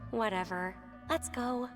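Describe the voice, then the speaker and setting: A woman's voice: mid-range voice, slow, lightly acted. A woman voicing a sardonic, dismissive youngster in a video game, with a blunt, snide delivery. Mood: irritated, dismissive. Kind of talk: English video game voice acting. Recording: long reverb, game music behind.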